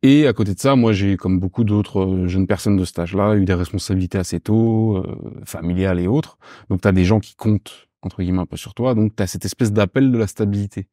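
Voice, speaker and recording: Low, mellow-voiced Parisian man in his thirties. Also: fairly quick, dry recording, studio quality. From Paris, France. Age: 30s. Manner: relaxed, informal, many fillers and slang, searching for words. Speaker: podcast guest. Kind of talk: casual conversational interview.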